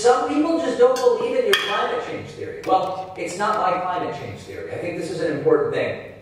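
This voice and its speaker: Echoey, distant male political talk host. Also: fairly high pitch. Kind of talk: political commentary answering viewer messages. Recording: echoey far playback, kitchen noise.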